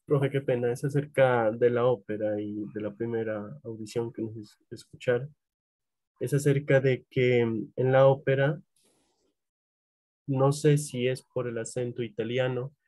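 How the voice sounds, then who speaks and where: A man's voice: mid-range voice, darkish tone, no room sound. A man, a student, politely and tentatively putting a question to his teacher in class.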